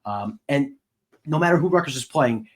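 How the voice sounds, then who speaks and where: A man's voice: medium pitch, lively intonation, moderate pace. Male sports podcast co-host and beat reporter, mid-range voice, casual conversational analysis.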